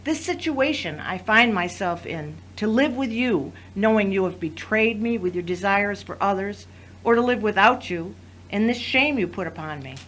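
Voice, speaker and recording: A woman acting in an audio drama as a wounded but resolute wife, speaking in a solemn, deliberate, commanding way. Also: mid-range voice, animated, a bit bright, rough recording.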